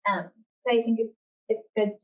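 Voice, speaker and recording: A woman's voice: a little high, steady tone, normal speed. American woman author, casual, dull lo-fi sound. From California, United States. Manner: informal, hesitant, frequent "like" as filler. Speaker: novelist, guest author. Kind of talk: casual unscripted answers at a literary event. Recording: dull, lo-fi event recording.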